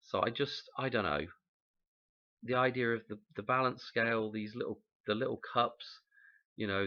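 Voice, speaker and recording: A man, croaky from a cold, rambling through a casual explanation and often checking in with "okay?". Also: on the low side, lo-fi sound. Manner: rambling, musing, frequent "okay?" check-ins. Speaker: channel host explaining number codes.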